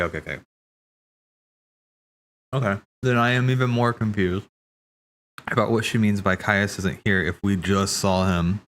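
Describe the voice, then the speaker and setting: A man's voice: low voice, fairly bright tone, slight background noise. A man chatting casually on a livestream as he watches game cutscenes, rambling and thinking aloud.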